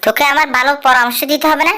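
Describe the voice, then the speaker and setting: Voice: high voice, fairly even intonation, very fast, bright, forceful tone. A performer with a woman-like voice plays a stern, sensible elder mouse uncle in a cartoon dub, admonishing and giving advice. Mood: scolding. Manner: admonishing, instructive.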